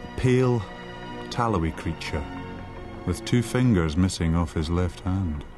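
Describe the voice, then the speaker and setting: An actor playing a man who recounts his boyhood, narrating a dramatised audiobook in a sombre, measured way. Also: deep voice, lively intonation, music in the background. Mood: ominous.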